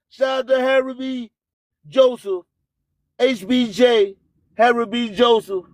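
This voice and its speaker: A man's voice: extremely high pitch, dry recording, low-quality recording. A man hosting an internet radio show, preaching and hyping in repetitive, rhyming catchphrases with a declamatory delivery. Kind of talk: live show hype and preaching monologue.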